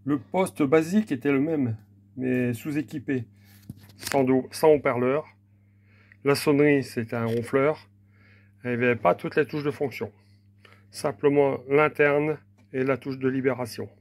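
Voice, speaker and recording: A man's voice: mid-range voice, measured pace, long pauses, a little mellow. A man informally presenting a hands-on technical demonstration, explaining plainly and a little hesitantly, without a script. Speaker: hobbyist presenter demonstrating old equipment.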